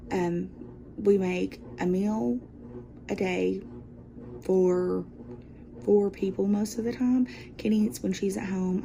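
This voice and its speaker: A woman's voice: mid-range voice, vocal fry, noisy background. A woman talking casually as a guest vlogger, rambling and upbeat with a self-deprecating manner. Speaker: guest vlogger taking over a sister's channel. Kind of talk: casual chatty vlog talk.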